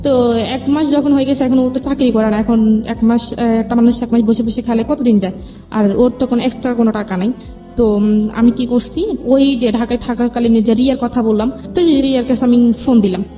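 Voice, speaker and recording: Higher-voiced young Bangladeshi woman, muffled recording. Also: fairly even intonation, fairly quick. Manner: colloquial, recounts dialogue as reported exchanges. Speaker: guest telling her life story. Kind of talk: personal storytelling in an interview. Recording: dull lo-fi sound with music underneath. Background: background music.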